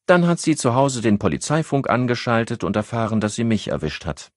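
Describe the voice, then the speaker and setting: A man voicing a calm, tough woman doctor who answers in short, matter-of-fact lines. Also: medium pitch, a little fast, dry recording, studio quality, voice pushed up, natural voice.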